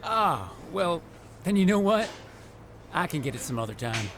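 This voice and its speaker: High-pitched man, eager goofy rookie wrestler, animated swooping delivery, chatty, video game cutscene. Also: moderate pace, a little hiss or hum. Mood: chatty, playful.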